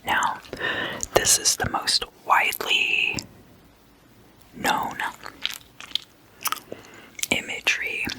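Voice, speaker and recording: An ASMR host whispering throughout in a hushed, unhurried, explanatory way. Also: rough recording.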